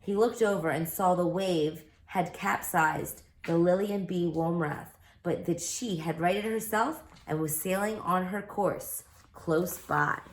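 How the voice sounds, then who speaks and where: A woman reading a children's book aloud as an expressive storyteller, recorded in an echoey room. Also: fairly low pitch, slow pace, hardly any pauses.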